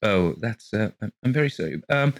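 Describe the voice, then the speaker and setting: A man's voice: on the low side, lively intonation, moderate pace, dry recording, clean studio sound, voice pushed up, natural voice. A man voicing a polite, hesitant young mother who is letting out rooms. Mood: flustered.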